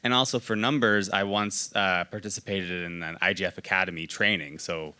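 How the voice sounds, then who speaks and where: A man's voice: sits low, fairly even intonation, a little fast, pushed and bright, clear, resonant tone. A man with an American accent introducing himself as a delegate at a meeting. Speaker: media organization delegate. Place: conference meeting room.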